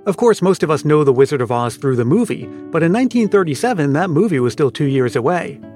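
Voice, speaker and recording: Lively man narrating, higher-pitched. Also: quick pace, soft background music. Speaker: podcast host. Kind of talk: scripted narration.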